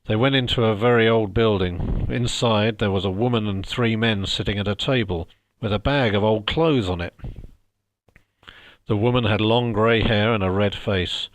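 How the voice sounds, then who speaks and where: A man's voice: lowish voice, moderate pace, rough recording. A man reading a storybook as audiobook narrator, in scripted narration. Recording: music behind the voice.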